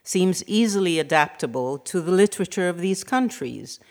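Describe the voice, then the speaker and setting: A middle-aged woman, a writer and academic reading a prepared lecture in a measured, formal way. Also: fairly low pitch, expressive. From Hungary. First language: Hungarian.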